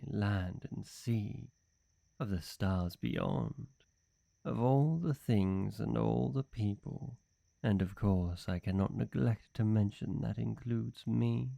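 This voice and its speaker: A man plays a devoted demon servant with a dark, mellow, slightly gravelly voice. He is suave and flirtatious, fawning over his mistress in formal, silky phrases, and he takes his time in this intimate roleplay monologue. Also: fairly low pitch, dry recording, exaggerated voice. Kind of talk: scripted roleplay monologue addressed to the listener.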